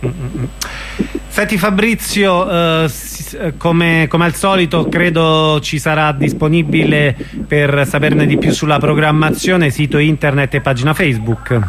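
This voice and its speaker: A man's voice: a little high, steady tone, a little slow, noisy background. A man interviewing a guest casually for radio.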